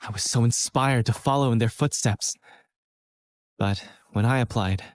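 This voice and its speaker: An actor playing an earnest, self-doubting young college student who narrates his own story in a scripted animated game film. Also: mid-range voice, normal speed, no room sound, lightly acted. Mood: reflective, downcast. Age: young adult. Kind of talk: scripted first-person narration.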